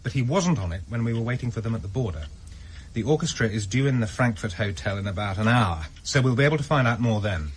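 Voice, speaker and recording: An actor playing a deferential British intelligence officer in a radio drama, briefing formally with careful understatement. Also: male voice, mid-range voice, animated, fast, a little hiss or hum, lightly acted. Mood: measured, concerned.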